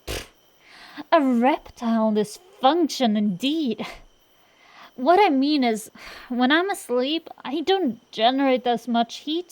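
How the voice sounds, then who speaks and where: A woman's voice: high voice, darkish tone. A woman playing a cocky tsundere dragon-girl sorceress in an acted roleplay, bantering straight to the listener with sassy, slangy, defensive bluster. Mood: indignant, defensive.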